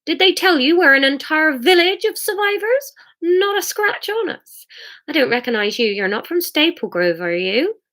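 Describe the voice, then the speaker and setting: Woman playing a prim, high-voiced old village lady. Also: sing-song, normal speed, sharp tone, full character voice. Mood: bright, nosy. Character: prim, sheepish elderly village lady, chatty and nosy.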